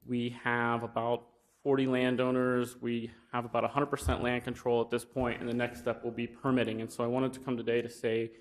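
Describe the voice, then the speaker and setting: Young guy pitching at a county meeting, pretty monotone, lots of uhs and restarts. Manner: plain, halting, many fillers and restarts. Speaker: wind energy business developer. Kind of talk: informal presentation at a public meeting. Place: county commission meeting room.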